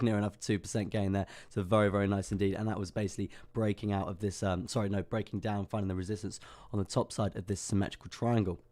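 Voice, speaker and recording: Hoarse young man, chatty. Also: fairly low pitch, darkish tone. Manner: chatty, self-deprecating, many ums and restarts. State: hoarse, lost voice. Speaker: crypto livestream host. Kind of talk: casual market analysis livestream.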